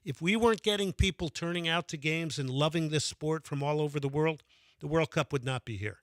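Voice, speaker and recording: A man's voice: a little high, brisk, no room sound. A man hosting a radio sports call-in show, talking with enthusiasm and taking callers. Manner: enthusiastic, reads out phone number repeatedly.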